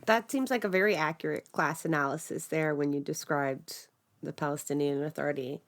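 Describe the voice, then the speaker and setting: A woman with a lowish voice hosting an interview, asking questions in a loose, conversational way. She thinks aloud as she talks, with fillers like "like" and "right?". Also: brisk. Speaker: podcast host.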